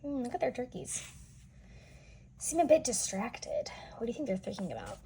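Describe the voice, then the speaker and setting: A woman with a somewhat high voice reads a children's picture book aloud. She speaks as if to small listeners, adding explanations and questions. There is audible noise in the recording. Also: big pitch swings, normal speed, dark tone. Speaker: children's read-aloud storyteller.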